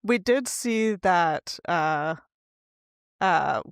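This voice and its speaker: A woman with a lowish voice talks casually as a podcast co-host. She thinks aloud with a wry, sweary edge, and the sound is dry and close. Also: lively intonation, extremely slow, clean tone, dry recording. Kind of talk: casual book discussion chat.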